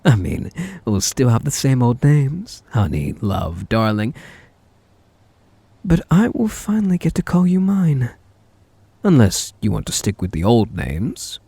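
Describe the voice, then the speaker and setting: A man playing an obsessive, sweetly menacing stalker groom in an ASMR audio drama, breathy and doting with a threat underneath. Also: mid-range voice, sing-song, unhurried, dark tone, dry recording, heavily acted. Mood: doting, smug. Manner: doting endearments laced with menace. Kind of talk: ASMR roleplay audio drama, addressing the listener.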